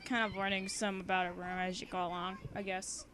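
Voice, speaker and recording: A woman's voice: on the low side, little pitch movement, a little fast, fairly bright tone, a little hiss or hum. Giggly, rambling young woman. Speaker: fan fiction author and reader. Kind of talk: casual read-aloud with chatty commentary.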